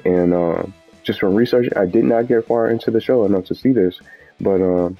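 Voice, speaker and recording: A man's voice: lowish voice, moderate pace, dark tone. A man giving casual, unscripted commentary as a gaming video host, rambling and often repeating and rephrasing himself. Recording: dull lo-fi mic with background music.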